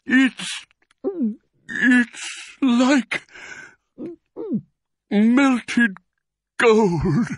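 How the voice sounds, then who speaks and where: A man's voice: mid-range voice, wildly swooping pitch, a little slow, fairly bright tone, husky, no echo, voice pushed up, heavily acted. A man voicing a hearty, self-important, enthusiastic badger in a children's storybook, exclaiming with great rapture. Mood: awed, rapturous. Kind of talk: animated children's storybook character reading.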